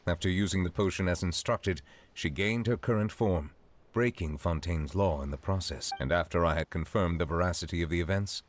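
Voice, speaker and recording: A man playing an ageless, dignified chief judge in a video game, formal, reserved and measured. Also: sits low, slight background noise, lightly acted. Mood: solemn, courteous. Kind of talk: video game dialogue acting.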